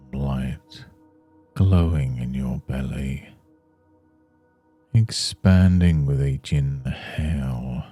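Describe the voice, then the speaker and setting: Very deep, dark-voiced man guiding meditation. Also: husky. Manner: soothing, measured, with long pauses. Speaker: meditation guide. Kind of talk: scripted guided meditation. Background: faint music.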